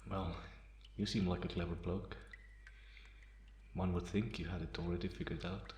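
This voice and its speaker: A man playing a calm, teasing half-vampire in an ASMR roleplay, pausing often and sounding softly amused and restrained. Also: low-pitched, close to an everyday voice. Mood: wry, teasing. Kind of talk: slow ASMR roleplay monologue. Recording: roomy echo, faint background noise.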